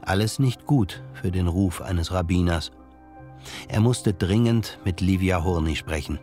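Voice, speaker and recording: A man's voice: low-pitched, measured pace, darkish tone. A man reading scripted literary narration as the narrator of a German audio drama. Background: music.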